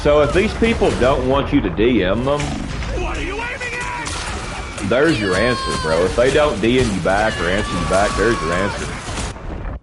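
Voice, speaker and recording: A man's voice: on the low side, animated, a little slow, pauses often, loud music behind the voice. A young man streaming while gaming, ranting casually to his chat. He rambles, repeats himself, says bro a lot, and sounds sniffly from allergies.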